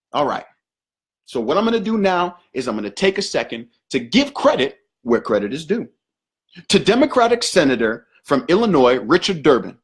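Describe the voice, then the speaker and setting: A man's voice: high-pitched, slightly rough. A young man from Northern Virginia hosting a show, delivering scripted comedic commentary with slang and rhymes. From Northern Virginia, USA. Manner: punchy, rhyming delivery with slang and emphatic shifts. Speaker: show host.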